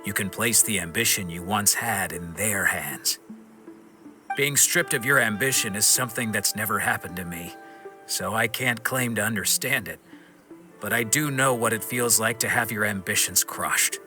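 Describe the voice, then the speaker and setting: Male actor as wandering swordsman, lowish voice, stern yet forgiving, measured frank delivery, game drama with music behind. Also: lightly acted. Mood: solemn encouragement. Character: stern but forgiving wandering swordsman, former senior disciple. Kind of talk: dramatic video game acting.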